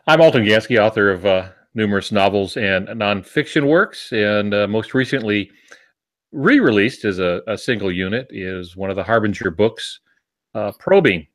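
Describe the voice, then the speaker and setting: Low-voiced man in casual, halting book chat. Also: a bit bright. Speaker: novelist and podcast panelist. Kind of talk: casual podcast chat about books and writing.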